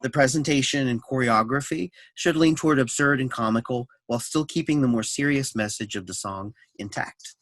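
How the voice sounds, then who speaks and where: Man, mid-pitched voice, scripted narration, stage directions, close dry recording. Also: a little fast, few pauses, a bit bright, no room sound.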